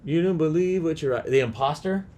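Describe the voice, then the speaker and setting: A man's voice: fairly high pitch, moderate pace, darkish tone, slight background noise. A man co-hosting a podcast in casual, conversational talk. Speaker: podcast co-host; teacher. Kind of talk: casual chat.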